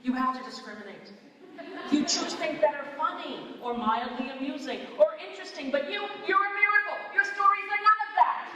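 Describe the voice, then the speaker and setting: A woman's voice: high voice, fairly quick, bright, forceful tone. A woman at a staged script reading playing a fed-up traveller in a biting, sarcastic rant. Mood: scathing, sarcastic. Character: fed-up, scathing traveller ranting at a tiresome talker. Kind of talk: staged film-script table read. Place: large hall at a live festival event. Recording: echoey hall from far off, noisy.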